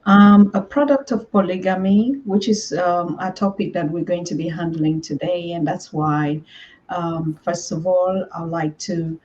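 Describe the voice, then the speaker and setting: A woman's voice: on the low side, fairly even intonation, a little slow, a little mellow, muffled recording. A woman from southern Cameroon, a guest on an online interview, who speaks thoughtfully with many ums and uhs.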